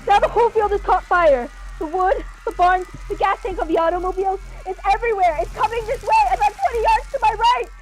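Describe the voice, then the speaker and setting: A young woman playing an excitable, frightened radio reporter giving a dramatic eyewitness account in a radio play. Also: extremely high pitch, slight background noise, low-quality recording. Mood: panicked. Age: young adult. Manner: dramatic, urgent narration with pauses. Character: excitable radio news reporter, gripped by mounting terror. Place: living room at home. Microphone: earphone mic on a video call.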